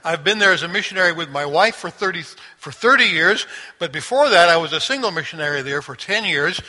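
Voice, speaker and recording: A man in his sixties speaks to a church congregation with a very bright, forceful voice that rings through a long hall reverb. He sits a little high in pitch and talks conversationally, like a visiting missionary telling his story. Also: lively intonation, fairly quick. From grew up in Sri Lanka. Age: 60s. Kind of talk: informal church address to a congregation. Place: large church room, speaking through a microphone.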